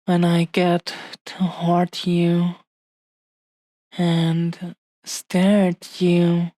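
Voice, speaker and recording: Very slow, mellow, possessive dragon woman, acted by a woman. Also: on the low side, monotone, dry recording. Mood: coaxing, affectionate. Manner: slow, lingering, soothing, affectionate delivery with long pauses. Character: adoring, possessive dragon-blooded woman, tender fiancée hoarding her treasure. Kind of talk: intimate romantic roleplay audio, ASMR-like.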